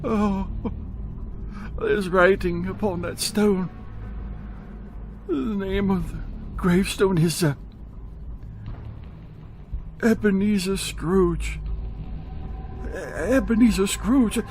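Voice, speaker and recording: A man's voice: high-pitched, darkish tone, slight background noise. A man playing a frightened, repentant old miser in a radio drama, stammering and pleading as he draws out his words. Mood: desperate pleading. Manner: stammering, pleading, drawn-out delivery. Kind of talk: theatrical radio drama acting.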